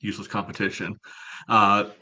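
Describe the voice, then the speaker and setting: A man's voice: on the low side, flat delivery, moderate pace, pushed and bright, dull audio. A man co-hosting a casual soccer podcast, giving opinionated, analytical takes in a conversational way. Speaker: podcast co-host.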